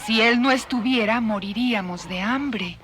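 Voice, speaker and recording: A woman's voice: on the high side, moderate pace, heavy background noise, lightly acted. A woman voicing a simple, fearful, loyal farm animal in an audio drama, with a plaintive manner. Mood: worried.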